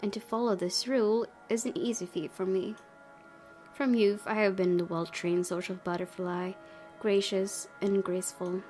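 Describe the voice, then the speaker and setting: A woman's voice: mid-range voice, fairly even intonation, normal speed, a little mellow. A woman hosting a let's play, reading visual novel text aloud and adding casual comments. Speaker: gaming video host. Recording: game music under the voice.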